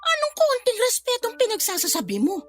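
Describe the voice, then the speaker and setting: A woman with a Filipino accent playing a tactless, sharp-tongued middle-aged aunt in a radio drama, blunt and quick to defend herself. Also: very high voice, wildly swooping pitch, heavily acted. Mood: defensive, indignant. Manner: blunt, brazen, swooping emphatic delivery. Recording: music behind the voice.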